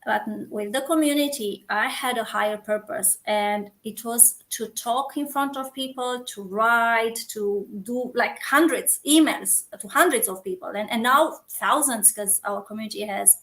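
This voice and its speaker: A woman's voice: fairly high pitch, a little slow, few pauses, fairly bright tone, rough recording. A woman with a Romanian accent speaks in a slightly raised voice, talking earnestly about her community. She often restarts and searches for the right English word. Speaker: community founder, guest. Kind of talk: casual interview chat.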